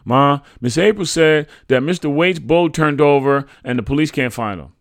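A man's voice: a little high, moderate pace, dry recording, studio quality, voice pushed up, lightly acted. A man voicing an earnest, polite nine-year-old boy with simple childlike phrasing, in a narrated story.

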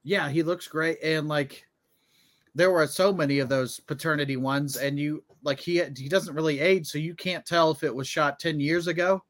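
A man's voice: fairly high pitch, steady tone, normal speed, a bit bright. A man co-hosting a casual comedy podcast, telling stories in a hesitant, meandering way. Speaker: podcast co-host.

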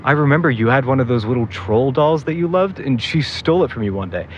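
A man's voice: mid-range voice, expressive, fast, highs cut off, a little hiss or hum, lightly acted. An actor playing a grumpy, bickering young man on a road trip, grumbling and sarcastic in a naturalistic audio drama. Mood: disapproving.

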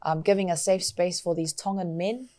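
A woman hosting a podcast, chatty and quick, with playful asides between serious points. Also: fairly low pitch, fairly even intonation, dark tone. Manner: quick, chatty, laughs easily. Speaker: podcast host. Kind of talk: casual podcast chat.

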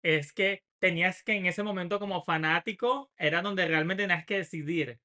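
Guy from venezuela, venezuelan accent, sharp high voice, casual podcast hangout talk. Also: normal speed. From Maracay, Venezuela. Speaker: podcast co-host. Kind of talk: casual chat among friends.

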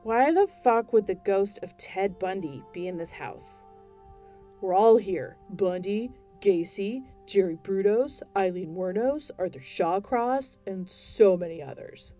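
A sneering ghost of a murderous man growls out his threats, as a woman narrator puts him on. The tone stays mellow and soft-edged rather than harsh. Quiet music runs underneath the storytelling. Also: medium pitch, expressive, a little slow, lightly acted. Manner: snarling, smirking.

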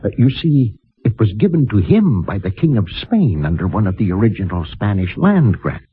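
Husky, low-voiced kindly priest. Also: male voice, big pitch swings, moderate pace, no room sound, heavily acted. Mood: informative, helpful. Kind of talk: 1950s radio drama acting. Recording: muffled, narrow-band vintage radio recording.

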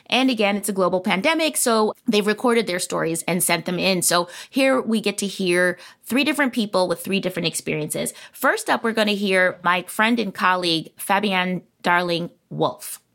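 A woman in her forties hosting a casual conversation, enthusiastic and animated as she tells stories. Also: medium pitch, moderate pace, hardly any pauses. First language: English. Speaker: podcast host.

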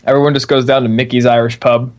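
A man's voice: medium pitch, flat delivery, quick pace. Upbeat laughing male podcast host. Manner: upbeat, laughs often, self-mocking. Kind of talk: casual chat.

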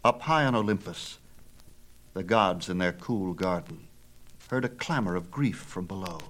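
A man narrating a myth as an audiobook storyteller, with a theatrical, measured and emphatic delivery. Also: low-pitched, expressive, fairly bright tone.

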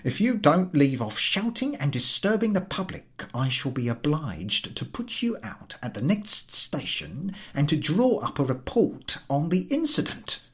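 A man's voice: on the high side, very animated, unhurried, hardly any pauses, pitched above the performer's own voice. Guy doing a officious stuttery ticket collector from an old story, pushy and pompous, voice jumps all over. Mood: offended, stern.

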